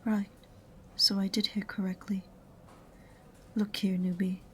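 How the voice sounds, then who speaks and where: A woman's voice: medium pitch, little pitch movement, a little slow, slight background noise, natural voice. A woman playing an aloof, sarcastic witch librarian in a fantasy audio roleplay, prim and condescending in a dry way. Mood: taken aback. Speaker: voice actor in amateur audio roleplay.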